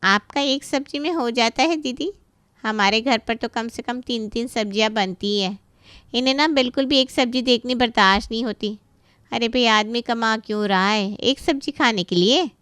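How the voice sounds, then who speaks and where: A woman's voice: on the high side, normal speed, fairly bright tone, clean tone, dry recording, close to an everyday voice. A woman playing a haughty, nitpicking middle-aged aunt, a boastful guest in a family story. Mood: haughty criticism.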